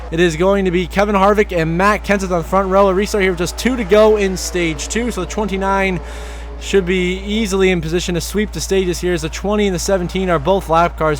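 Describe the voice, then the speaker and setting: Hoarse man calling a race. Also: high-pitched, slight background noise. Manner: excited rising calls during crashes and passes. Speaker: sim racing commentator. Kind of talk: play-by-play race commentary voiceover.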